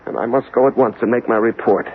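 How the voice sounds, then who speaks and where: An actor playing a desperate, idealistic investigator obsessed with coming doom, in impassioned theatrical radio drama style. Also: male voice, medium pitch, steady tone, fast, breathy edge, lightly acted. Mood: fervent, defiant. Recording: muffled, hissy old radio recording.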